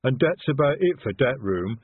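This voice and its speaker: A man voicing a big, slow-witted troll who speaks earnestly and ungrammatically, proud of his culture, in an audiobook. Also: mid-range voice, very animated, breathy edge, dry recording, phone-quality sound, pitched above the performer's own voice. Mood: earnest, expansive. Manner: ponderous, uneducated, ungrammatical speech. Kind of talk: audiobook character voice.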